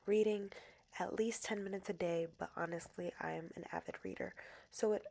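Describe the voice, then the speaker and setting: A woman's voice: lowish voice, fairly even intonation, normal speed, creaky, dark tone. A woman in her twenties from New Jersey with a New Jersey accent, Spanish her first language, chatting in a casual diary vlog voice-over with playful, emphatic repetition. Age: 20s. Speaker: vlogger.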